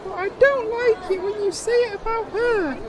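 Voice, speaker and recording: A man's voice: very high voice, moderate pace, over a noisy crowd. A man voicing a polite, naive, childlike young male creature in a comic fantasy audio drama. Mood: earnest. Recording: loud outdoor ambience and noise behind.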